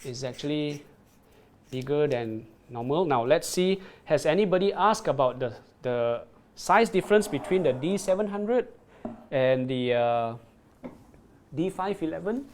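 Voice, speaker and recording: Halting man presenting live, pitched a bit high. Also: lively intonation, a little mellow, a little hiss or hum. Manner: halting, repeats words, checks in with "right?". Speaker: product presenter on a livestream. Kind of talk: live product demo, reading viewer comments.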